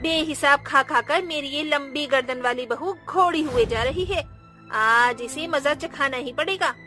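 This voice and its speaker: A man's voice: very high-pitched, measured pace, bright, forceful tone, soft background music, voice pushed up, heavily acted. A man voicing a jealous, spiteful village mother-in-law in a cartoon, talking in a scolding, sneering way. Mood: scornful. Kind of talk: cartoon voice acting.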